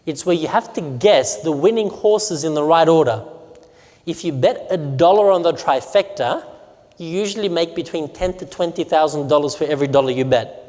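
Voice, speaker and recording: A man's voice: on the high side, moderate pace, a little mellow. A man from Romania, a pastor, giving a sermon presentation, telling stories and asking the audience questions. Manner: storytelling, asks the audience questions. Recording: long hall reverb.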